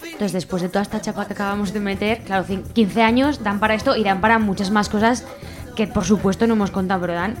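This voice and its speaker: Spanish woman, radio guest, mid-range voice, colloquial and emphatic delivery. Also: mid-range voice. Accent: Spanish from Spain. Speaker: radio guest from a community library collective. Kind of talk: casual spoken radio interview.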